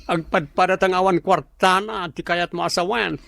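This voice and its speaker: A woman with an Ilocano Filipino accent playing a flamboyant, gossipy young coworker, with drawn-out campy delivery and English slang, in a radio comedy. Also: on the low side, steady tone, creaky, fairly bright tone, no room sound. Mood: dismayed.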